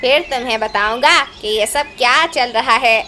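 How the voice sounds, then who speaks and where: A woman's voice: a little high, moderate pace, sharp tone, slight background noise. A woman voicing a put-upon, complaining male crow in a cartoon, full of exclamations. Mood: flustered. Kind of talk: Hindi cartoon voice acting.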